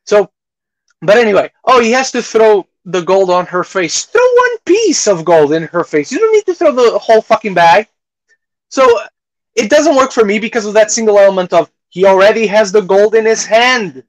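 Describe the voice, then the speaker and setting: A man's voice: high-pitched, very animated, moderate pace, dry recording, poor audio. A man hosting a podcast, chatting casually about films in an opinionated way and swearing freely. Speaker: podcast host. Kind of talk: casual film discussion.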